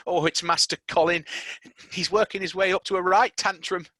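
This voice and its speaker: A storyteller voicing a flustered, kindly young housemaid who talks in a fretful, hurried way. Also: medium pitch, steady tone, fairly bright tone, dry recording, pitched above the performer's own voice, natural voice.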